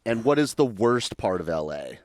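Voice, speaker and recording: A man with a lowish voice co-hosting a podcast, putting relaxed, meandering questions to a guest. The sound is close and dry. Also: moderate pace, no echo. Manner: easygoing, filler-heavy questioning. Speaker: podcast co-host. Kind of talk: casual interview questions.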